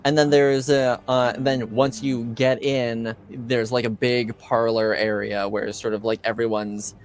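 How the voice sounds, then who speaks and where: A man narrating casually as game master of a tabletop game, halting and conversational. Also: medium pitch, little pitch movement. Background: music.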